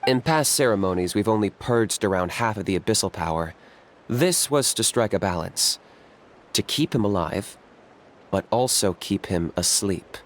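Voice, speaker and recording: Man playing a low, cool, blunt dealmaker. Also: expressive, lightly acted. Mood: grave, explanatory. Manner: dry, measured, matter-of-fact. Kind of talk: video game voice acting.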